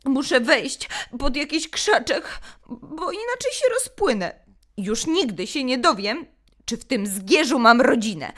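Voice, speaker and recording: A woman voicing an excitable, tearful orphan hedgehog boy in a melodramatic children's storybook reading. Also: sits high, a little slow, voice pushed up, exaggerated voice. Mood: tearful, wailing. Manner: melodramatic, exclamatory.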